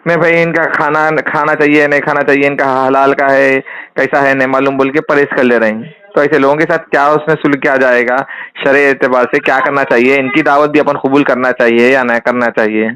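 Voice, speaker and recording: A man's voice: mid-range voice, steady tone, brisk, fairly bright tone, clean tone. A man with a Hyderabadi accent on a recorded phone call, informally putting a question to a cleric and explaining it insistently, with repetition.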